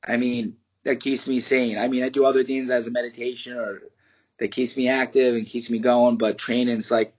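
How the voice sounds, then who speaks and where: A man's voice: mid-range voice, level tone, normal speed, breathy edge, dull audio. A man, a fighter and martial arts teacher giving candid, rambling interview answers and swearing casually. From United States.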